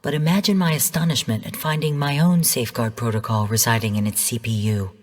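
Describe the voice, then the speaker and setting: An actress playing a female artificial intelligence in a video game, speaking in a measured, formal, clinical manner. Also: a bass voice, steady tone. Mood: clinical, informative. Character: calm female rogue artificial intelligence mentor turned genocidal overseer. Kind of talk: scripted video game voice lines. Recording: long hall-like reverb.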